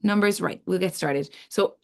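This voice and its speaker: A middle-aged woman with a slightly low voice presents an online webinar as its host. She speaks informally, explaining in long sentences that build up lists of points. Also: moderate pace, vocal fry. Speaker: webinar host, academic scientist.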